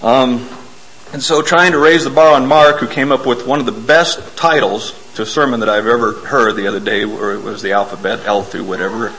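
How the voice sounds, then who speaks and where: A man preaching an informal, self-deprecating sermon in a church, hoarse from a bug and often clearing his throat. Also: medium pitch, fairly even intonation, a little fast, a bit bright, rough recording. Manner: folksy, self-deprecating, explains hymn words. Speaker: lay preacher.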